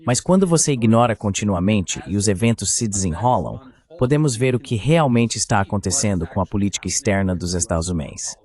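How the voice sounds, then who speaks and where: A man's voice: fairly low pitch, animated, fairly quick, darkish tone. A man giving translated voice-over political commentary, opinionated and fond of rhetorical questions.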